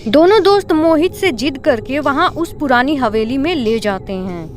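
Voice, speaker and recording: A woman narrates a cartoon horror story from a script. Her voice is distinctly high, and faint music runs underneath. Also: expressive, normal speed.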